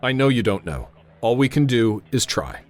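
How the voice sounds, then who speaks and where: An actor playing a tense, authoritative airfield controller in a radio drama, narrating and giving orders with clipped urgency. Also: male voice, mid-range voice, sing-song, normal speed, a little hiss or hum, close to an everyday voice. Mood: stern, insistent. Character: tense, commanding male airfield tower controller narrating a crisis.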